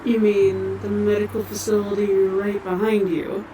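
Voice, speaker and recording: A woman's voice: medium pitch, level tone, a little slow, far from the mic, over music, lightly acted, poor audio. A woman playing a friendly, helpful robot medic in an audio drama, with an easygoing conversational manner. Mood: friendly, teasing. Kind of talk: fan audio drama acting.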